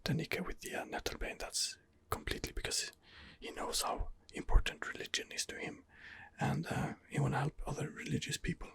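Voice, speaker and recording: A man giving ASMR game commentary in a breathy, husky whisper, hesitant and rambling as he muses his way along. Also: fairly high pitch, huge pitch swings, brisk, few pauses, a bit bright. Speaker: video game streamer, channel host.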